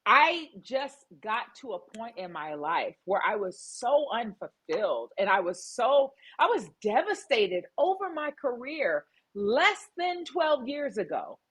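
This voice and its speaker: A woman's voice: a little high, big pitch swings, a little slow, a bit bright, slightly rough. A middle-aged woman speaking as a guest in an interview, telling her story emphatically and with animation. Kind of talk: candid interview conversation.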